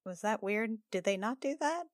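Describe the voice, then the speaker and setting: A woman at a tabletop game talks in a casual, informal way, often halting and repeating herself. Her mid-pitched voice is recorded dry, with no room sound. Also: animated, no room sound. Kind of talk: casual in-character and table chat.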